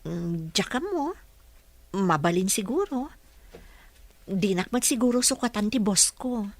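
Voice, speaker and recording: A woman's voice: mid-range voice, animated, unhurried, dry recording. A woman playing an attentive caregiver in a radio drama. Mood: friendly, chatty. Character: attentive female caregiver to a wheelchair-bound boss.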